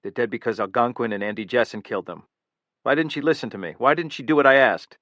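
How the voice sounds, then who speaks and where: A man's voice: mid-range voice, fast talker, fairly bright tone, no room sound, muffled recording, natural voice. A man voicing a frightened male security chief who pleads with a voice that cracks upward. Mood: pleading.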